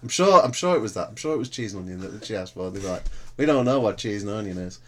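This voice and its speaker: Dad, booming loud voice, laid back, keeps saying right to move things on. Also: male voice, animated, racing. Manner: laid-back, repeats "right" to steer the talk. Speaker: father hosting a family podcast. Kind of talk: casual family chat.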